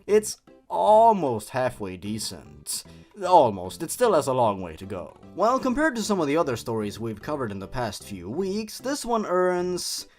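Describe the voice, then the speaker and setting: A man's voice: a little high, huge pitch swings, normal speed, a little mellow. A young man hosting a review show in scripted commentary, with theatrical, sardonic emphasis. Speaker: host of a horror story review channel. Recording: music behind the voice. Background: background music.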